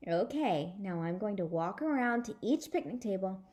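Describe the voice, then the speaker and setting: A woman voicing a genial father in a children's storybook, patient and measured as he explains a party game. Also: mid-range voice, animated, darkish tone, natural voice. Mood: explanatory.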